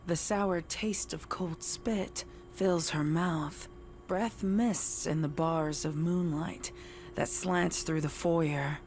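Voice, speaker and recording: A woman reading dark horror fiction as scripted narration. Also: low voice.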